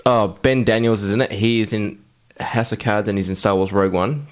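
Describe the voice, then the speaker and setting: A man's voice: fairly low pitch, a little fast. A man hosting a podcast in casual, sweary chat about films and video games. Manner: foul-mouthed. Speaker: podcast host.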